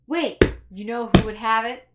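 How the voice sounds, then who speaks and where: A woman's voice: fairly high pitch, moderate pace, very noisy. A woman playing a tabletop role-playing game, chatting casually in a playful, rambling way.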